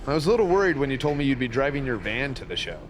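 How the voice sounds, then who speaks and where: Actor as friendly, firm wrestling boss. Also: male voice, medium pitch, big pitch swings, racing, slight background noise, close to an everyday voice. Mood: firm, welcoming. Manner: plain-spoken, mentoring. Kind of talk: video game cutscene dialogue.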